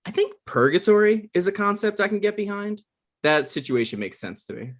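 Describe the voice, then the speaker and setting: A man's voice: high voice, expressive, brisk, pitched above the performer's own voice. Man acting out awkward, evasive guy in his thirties. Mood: earnest bluffing. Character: awkward, evasive 35-year-old man bluffing on a date. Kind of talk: comedy table read.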